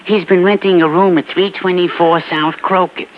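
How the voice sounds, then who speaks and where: An actor playing a shrewd, wheedling small-time American informant in slangy, ungrammatical speech on an old radio crime drama. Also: male voice, sits high, steady tone, normal speed, lightly acted. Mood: sly, insistent. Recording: muffled old radio recording, faint noise.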